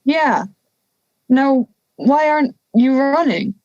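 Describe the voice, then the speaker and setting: Unhurried, dull-sounding wry talking wolf played by a woman. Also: sits high, animated, darkish tone, no echo. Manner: indignant, teasing. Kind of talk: audio drama acting.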